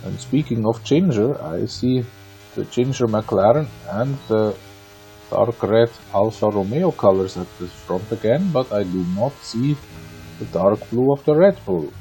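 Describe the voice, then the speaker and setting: An Austrian man, a native German speaker, commentates on a motor race in a low, creaky voice. His tone is soft-edged and dark, his delivery conversational with playful asides. Music plays behind him. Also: rough recording. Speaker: sim racing league commentator.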